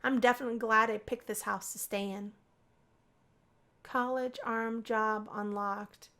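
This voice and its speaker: A woman narrating a visual novel let's play, reading the story aloud in an expressive storybook way. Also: on the high side, measured pace. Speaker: gaming video creator.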